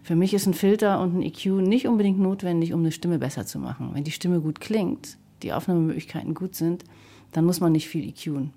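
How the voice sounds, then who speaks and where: A woman's voice: on the low side, brisk, warm tone. A woman speaking reflectively in an interview, explaining her craft as a sound artist. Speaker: sound artist and radio drama maker. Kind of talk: interview statement about craft.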